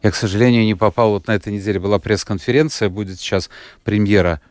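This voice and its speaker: A man with a fairly low voice chats loosely through an interview, often stopping and restarting his sentences. He is recorded close and dry, with no room sound. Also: steady tone, fast, a little mellow, no room sound. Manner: rambling, with many fillers and restarts. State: unwell, recovering. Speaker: radio host, guest here. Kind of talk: relaxed conversational interview.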